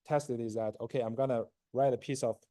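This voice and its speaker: Halting lecturer, mellow voice, native Chinese speaker. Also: male voice, mid-range voice, fairly even intonation, no room sound, studio quality. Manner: halting, many fillers and restarts, asks "right?" often; frequent throat clearing. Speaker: university lecturer. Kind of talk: classroom lecture.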